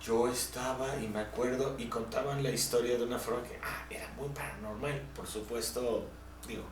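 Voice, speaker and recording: A man's voice: medium pitch, fairly even intonation, moderate pace. A Mexican man co-hosting a casual panel podcast, talking in an emphatic, conversational way. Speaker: podcast co-host. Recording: distant, echoey, faintly noisy room recording.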